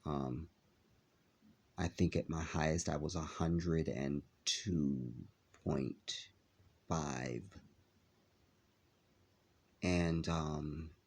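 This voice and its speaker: A man talking to his viewers in a halting vlog, with a nasal, congested voice as he recovers from an illness. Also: extremely low pitch, steady tone, husky. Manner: halting, many filler pauses. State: stuffy, congested after illness. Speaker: vlogger. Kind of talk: casual vlog talk to viewers.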